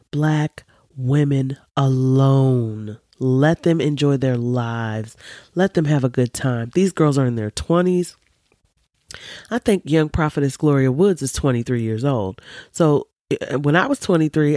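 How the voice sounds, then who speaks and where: A woman in her forties with a deep voice, hosting a podcast in an emphatic, animated, opinionated monologue full of casual swearing. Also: no room sound, studio quality. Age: 40s. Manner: emphatic and animated, swears freely, preachy asides. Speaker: podcast host.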